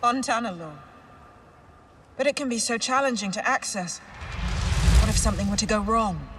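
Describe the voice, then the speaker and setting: An actress playing a wry, patient female Jedi in a video game, composed and gently teasing. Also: a little high, moderate pace, background noise, natural voice. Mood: concerned. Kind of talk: cinematic video game acting.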